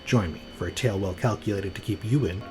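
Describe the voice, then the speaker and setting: A man's voice: on the low side, fast talker, warm tone. Wry male podcast host over music. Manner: wry, tongue-in-cheek. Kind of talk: scripted narration, playful commentary.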